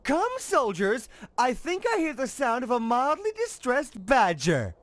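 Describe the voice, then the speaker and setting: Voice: medium pitch, huge pitch swings, a little slow, no echo. An actor playing a bossy sanctuary guardian in a video game, declaiming orders to soldiers. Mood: stern, puzzled. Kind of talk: video game cutscene acting.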